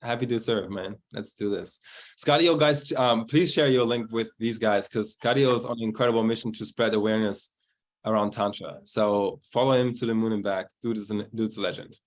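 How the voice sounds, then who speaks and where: A man's voice: on the low side, brisk. Upbeat man hosting a video call. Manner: upbeat, hyped-up introductions. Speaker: host of online group call. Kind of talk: casual coaching chat.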